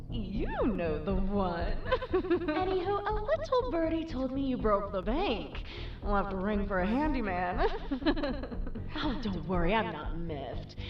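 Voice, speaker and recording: A woman voicing a coy, seductive, faintly menacing casino character in theatrical video game style, teasing and drawn-out, with echo. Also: medium pitch. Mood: dreamy. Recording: roomy, distant, dull audio with music.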